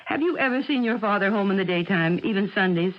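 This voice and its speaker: An actress playing a fussy, affectionate but sharp-tongued middle-aged American mother in a radio drama, chiding and wry. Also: mid-range voice, expressive, quick pace, lightly acted. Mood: wry, needling. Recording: muffled old radio broadcast.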